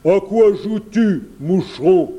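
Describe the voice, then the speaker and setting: A man's voice: high-pitched, expressive, slow pace, mellow, lo-fi sound, pitched above the performer's own voice. A man voicing a big, greedy, gullible bear in a children's storybook, growling and exclaiming. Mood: gruff challenge.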